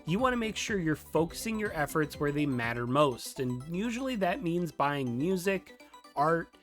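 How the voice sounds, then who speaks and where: A man's voice: a little high, sing-song, moderate pace, fairly bright tone, soft background music. A man presenting an informal scripted tutorial in a conversational, emphatic way. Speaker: video host, game developer and former freelance artist.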